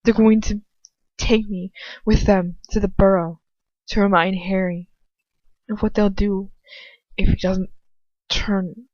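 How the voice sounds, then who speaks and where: A woman's voice: medium pitch, flat delivery, slow pace, pauses often, darkish tone, dry recording. Woman reading a story, dull lo-fi sound. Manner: informal, stumbles and corrects herself. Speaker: amateur fan fiction reader. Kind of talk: audiobook-style story reading with casual asides.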